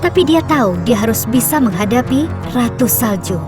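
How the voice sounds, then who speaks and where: A woman tells a children's fairy tale in a fairly high voice. Her scripted narration is measured and expressive, with music clearly playing behind it. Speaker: storyteller, narrator.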